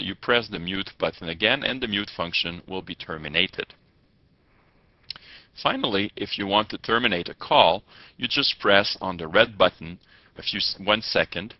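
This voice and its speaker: A man giving step-by-step tutorial narration as an instructor, with halting delivery and formal wording. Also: low voice. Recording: muffled, echoey lo-fi room recording.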